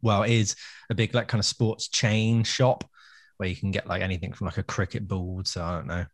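A man with a fairly low voice co-hosts a casual podcast. He chats easily and stops to explain things for listeners. The sound is dry and close to the mic. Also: little pitch movement, moderate pace, dry recording. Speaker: podcast co-host. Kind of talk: casual chat.

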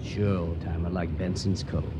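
Man playing mocking low-voiced pirate con man. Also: level tone, normal speed, close to an everyday voice. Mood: smug menace. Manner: mocking, drawling, taunting. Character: folksy menacing con-man pirate posing as pious sailor. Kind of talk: radio drama acting. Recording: noisy storm-like ambience behind voice.

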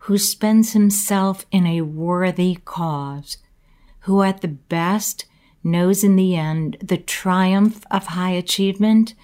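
A woman giving a slow, earnest inspirational talk about courage, reflecting on her own life. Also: on the low side, dark tone. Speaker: motivational speaker.

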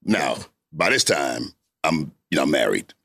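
A middle-aged man with a deep voice hosting a talk show, telling stories about himself with laughter and self-mockery. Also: big pitch swings, a little slow, vocal fry, fairly bright tone, breathy edge, no room sound. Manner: laughing, self-mocking storytelling. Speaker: show host. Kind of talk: casual chat.

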